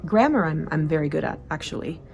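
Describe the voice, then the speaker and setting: Low gravelly woman vlogging. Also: expressive, fast, darkish tone, slight background noise. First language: Swedish. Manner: chatty, frequent fillers and restarts. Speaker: productivity vlogger. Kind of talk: casual monthly report to camera.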